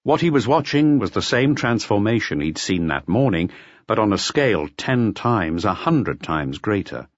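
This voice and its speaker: A man's voice: lowish voice, big pitch swings, normal speed, no room sound, dull audio. A man reading a mystery audiobook as a scripted narration. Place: close, dry recording.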